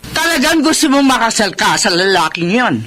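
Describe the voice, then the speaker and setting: A woman's voice: fairly high pitch, very animated, brisk, fairly bright tone. An actress playing a domineering, money-minded mother who scolds and insists in a Filipino radio drama. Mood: stern, demanding. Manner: scolding, insistent, sharply emphatic. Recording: noisy radio drama recording.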